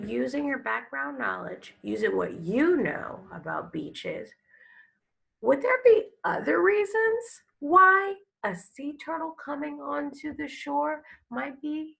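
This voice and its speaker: Female elementary teacher for children's read-aloud: heavy vocal fry, bright tone, expressive, explanatory delivery. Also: high-pitched, rough recording. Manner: expressive, instructive, pauses to explain and prompt. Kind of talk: picture book read-aloud with questions to young pupils.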